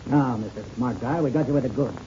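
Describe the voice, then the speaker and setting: An actor as a fast-talking tough investigator. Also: male voice, medium pitch, lightly acted. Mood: tough, menacing. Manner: gruff, sneering, slangy. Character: tough, bullying male investigator grilling a suspect. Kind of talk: 1930s radio acting. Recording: muffled, noisy old radio serial recording.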